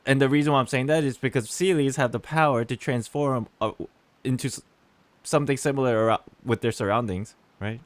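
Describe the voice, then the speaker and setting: A man's voice: medium pitch, a little fast, dry recording. A man streaming a game with casual commentary, musing and speculating aloud to his chat. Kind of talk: casual live playthrough commentary.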